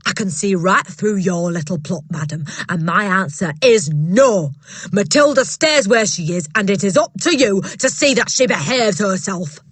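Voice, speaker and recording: A woman voicing a huge, scornful headmistress who barks and shouts at a teacher. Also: medium pitch, expressive, normal speed, breathy edge, dry recording. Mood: barking scorn. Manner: barking, shouting, contemptuous. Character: bullying, booming female headmistress, huge and scornful. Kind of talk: audiobook character voice.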